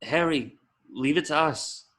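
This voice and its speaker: A man playing a composed, protective wizard guard in an amateur script read, measured and instructing. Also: on the high side, a bit bright. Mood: urgent.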